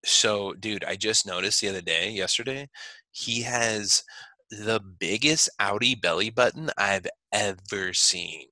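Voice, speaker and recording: A man's voice: fairly low pitch, unhurried, sharp tone, no room sound, low-quality recording. A young man co-hosting a podcast over a video call, chatting loosely with a lot of swearing and laughter. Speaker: podcast co-host. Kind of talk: casual chat. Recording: dry call audio.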